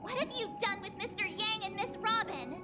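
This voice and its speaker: An actress playing a small, cute, alarmed childlike companion in a game. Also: female voice, very high-pitched, moderate pace. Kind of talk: game voice acting. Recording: loud game music behind the voice.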